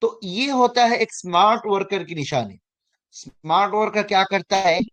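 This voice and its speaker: A man in his thirties teaching an online finance class, often pausing to check that students understand and correcting himself as he goes. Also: sits high, fairly bright tone. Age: 30s. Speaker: finance course instructor.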